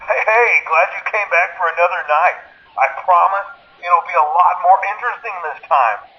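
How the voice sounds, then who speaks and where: Muffled phone message, excitable slacker dude. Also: male voice, sits high, normal speed. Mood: upbeat, joking. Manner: laid-back, slangy surfer-dude talk. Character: excitable slacker dude running a horror attraction. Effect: phone filter. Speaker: horror attraction employee leaving phone messages. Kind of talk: casual recorded phone briefing.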